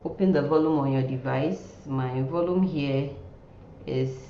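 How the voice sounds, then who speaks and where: A woman giving an informal online nursing lecture in a halting way, often repeating words and phrases. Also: rumbling low, warm tone. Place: indoor room, online class. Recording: muffled, roomy sound with faint noise.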